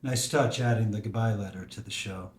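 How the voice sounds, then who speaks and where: An actor playing a wistful, adventurous older father who speaks softly and reflectively in a table read. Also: fairly low pitch, fairly quick, natural voice. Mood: dry. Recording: roomy echo.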